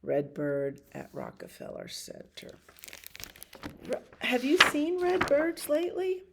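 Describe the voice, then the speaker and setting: A woman hosting a bedtime story time for young children, chatting in unhurried asides and putting questions to the listeners. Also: high voice, wildly swooping pitch, vocal fry, background noise. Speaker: library storyteller.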